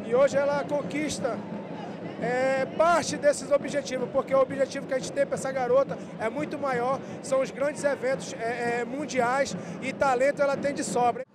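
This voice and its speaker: A man's voice: extremely high pitch, flat delivery, normal speed, background noise. A man, a coach, speaking emotionally and searching for words in an interview.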